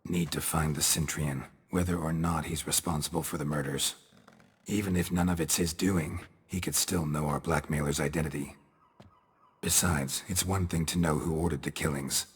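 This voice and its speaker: Stoic monster hunter from a fantasy game, super raspy deep voice, deadpan, few words. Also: male voice, normal speed, long reverb tail, exaggerated voice. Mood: calm, deadpan. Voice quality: gravelly, raspy. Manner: laconic, deadpan, clipped sentences. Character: stoic, unflappable male monster hunter, mutated witcher. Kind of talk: understated video game acting.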